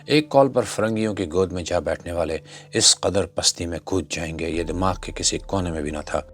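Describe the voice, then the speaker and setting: A man delivering scripted social commentary in a rhetorical, admonishing, exhortative manner. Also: low voice. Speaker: narrator and commentator. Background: background music.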